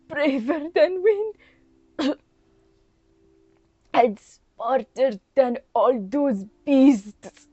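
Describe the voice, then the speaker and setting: A woman's voice: sits high, extremely slow, pauses often, dark tone, no echo, dull audio, pitched above the performer's own voice. A woman voicing a loving, proud old grandmother in a folktale. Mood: proud, tearful. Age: older.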